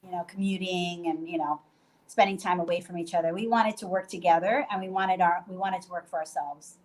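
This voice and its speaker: A woman's voice: fairly low pitch, fairly even intonation, a little fast, rough recording. A woman from Puerto Rico talking casually on a video call from a guesthouse bedroom, loose and chatty with many fillers and restarts. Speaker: guest, hostel owner.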